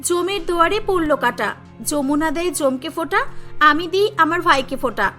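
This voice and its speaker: Very high, sweet humble sister voiced by a woman. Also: animated, a little fast, bright, forceful tone, exaggerated voice. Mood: affectionate. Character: humble, gentle young woman, loving sister. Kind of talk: cartoon story acting. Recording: music behind the voice.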